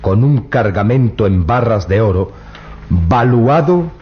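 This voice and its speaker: An actor playing an earnest, persuasive young treasure hunter in a vintage radio drama. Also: male voice, lowish voice, lively intonation, slow, slight background noise. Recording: dull lo-fi old radio recording.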